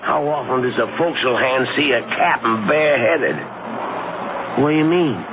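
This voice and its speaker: An actor playing a superstitious, wary deckhand with folksy salty speech in a seventies radio drama. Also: male voice, medium pitch, normal speed, phone-quality sound, lightly acted. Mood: gossiping, doubtful. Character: wary, superstitious rough deckhand on an 1860s sailing ship. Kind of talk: 1970s radio drama acting. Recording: thin, noisy old radio broadcast.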